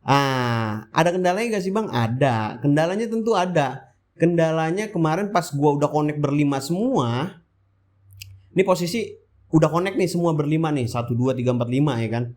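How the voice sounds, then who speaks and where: A man's voice: a little high, expressive, moderate pace, a little mellow. Chatty man with a Jakarta-area Indonesian accent. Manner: colloquial, rambling, asks and answers own questions. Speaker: motovlog host. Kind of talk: casual vlog voice-over, chatty and informal.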